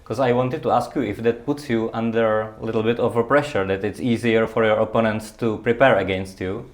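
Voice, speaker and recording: A man's voice: on the low side, fairly even intonation, a little fast. A man interviewing a guest, asking plain questions and giving short acknowledgements. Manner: brief backchannel, plain questions.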